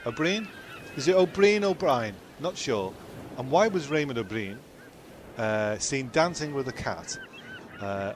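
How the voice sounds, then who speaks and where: A man's voice: mid-range voice, a little hiss or hum, close to an everyday voice. A man playing a bumbling, flustered castaway questioner in a comic radio play, stumbling and repeating himself.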